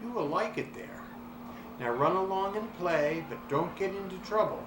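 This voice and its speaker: A man voicing a kindly, firm storybook guardian giving gentle instructions. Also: high voice, lively intonation, moderate pace, recorded from a distance. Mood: kind, instructing. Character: kindly, firm man instructing his little pet monkey. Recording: roomy echo, clear background noise.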